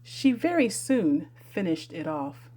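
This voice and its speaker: A woman narrates a children's storybook with lively, varied intonation. Her voice is mellow and a little husky, sitting at an ordinary pitch for a woman. She reads as an audiobook storyteller. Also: takes it slowly. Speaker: audiobook reader.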